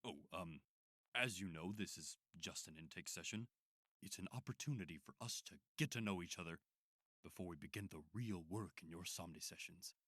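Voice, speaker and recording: An actor playing a probing psychiatrist who runs an institute, speaking in a measured, formal way in an audio drama. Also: male voice, low-pitched, fairly bright tone, no room sound, studio quality. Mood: politely probing. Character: smooth, probing male psychiatrist running an institute.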